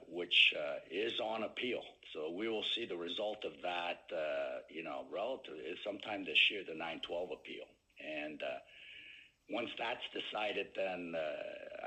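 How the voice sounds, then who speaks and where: A man with a very low, bright-edged voice answers as a company executive on an earnings call. His delivery is slow and halting, broken by frequent hesitant pauses. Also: low-quality recording.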